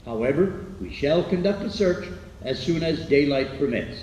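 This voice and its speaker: A learned astronomer played by a man answers with formal, dismissive assurance in a radio drama. His voice is mellow and a little high, echoing as if away from the microphone. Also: lively intonation, normal speed, natural voice. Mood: calm, dismissive. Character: dismissive, learned male astronomer professor being interviewed. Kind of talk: old-time radio drama interview. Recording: distant, echoey, noisy radio drama.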